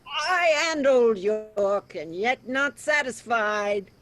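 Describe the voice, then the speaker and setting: A woman playing a hard, defiant male warrior lord in a Shakespearean verse reading, speaking with deliberate emphasis. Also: a little high, lively intonation, a bit bright, dry recording. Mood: grim defiance. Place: remote reading over a video call.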